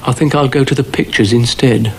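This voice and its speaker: A man's voice: mid-range voice, fairly even intonation, brisk, creaky, lightly acted, rough recording. A man voicing a pompous, exasperated male dog in a cartoon, haughty and grumbling.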